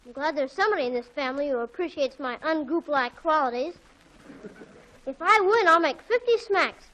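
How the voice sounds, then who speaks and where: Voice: sits high, expressive, a little fast, pushed and bright. A performer playing a cheeky, self-important young boy, the slangy kid brother of a 1940s radio comedy. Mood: cocky. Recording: noisy 1940s radio broadcast.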